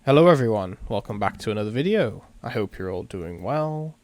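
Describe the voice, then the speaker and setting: A man's voice: on the low side, expressive, a little fast, darkish tone, dry recording. A tired man with a sore throat, giving casual, laid-back let's-play commentary and reading a visual novel aloud over game music. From England. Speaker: gaming video host.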